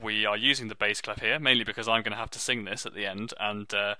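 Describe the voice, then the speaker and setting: A man with a low, deep voice teaching music theory in an online lesson, explaining step by step in a friendly, self-deprecating way. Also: steady tone, quick pace, pushed and bright, dry recording.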